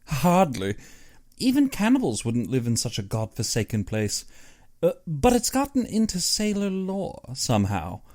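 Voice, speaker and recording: A man voicing an uneasy, superstitious big-game hunter in an audiobook, earnest and brooding in his expressive delivery. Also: fairly high pitch, measured pace, pitched above the performer's own voice. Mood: ominous. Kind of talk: audiobook character dialogue.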